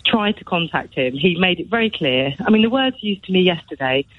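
A woman's voice: lowish voice, expressive, brisk, dry recording, narrow, tinny audio. A woman, a showbiz editor, chatting on radio in a gossipy way with many restarts. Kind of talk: casual radio chat.

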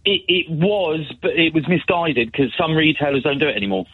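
A man calls into a radio show and talks in a confident, matter-of-fact way, laughing easily. His slightly husky voice comes through a narrow phone line. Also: fairly high pitch, lively intonation, brisk. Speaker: radio caller. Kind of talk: phone-in chat.

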